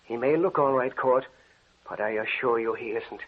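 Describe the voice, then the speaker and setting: An actor playing a courteous, secretive scientist with a deliberate, expressive delivery in an old-time radio drama. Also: male voice, mid-range voice, husky, close to an everyday voice. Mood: ominous. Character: courteous, secretive male scientist with a dark experiment. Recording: noisy, tinny old radio recording.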